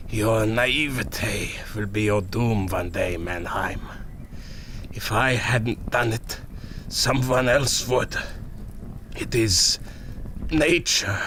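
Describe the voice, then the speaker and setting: A man playing a defeated, fatalistic villain baron in an audio drama, speaking formally and haltingly with condescension. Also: lowish voice, a bit bright, slight background noise.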